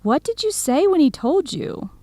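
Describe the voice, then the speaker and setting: A woman's voice: sits high, sing-song, moderate pace, dark tone, no room sound. An actress playing a candid, concerned young woman friend, questioning bluntly and expressively in an English-learning radio drama. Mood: curious.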